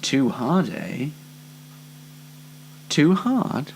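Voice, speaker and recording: A man's voice: on the high side, sing-song, drawn-out words, creaky, slight background noise, rough recording. A man hosting an online variety show in a chatty, playfully theatrical, mock-dramatic way. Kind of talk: chatty scripted-style presenting with ad-libs.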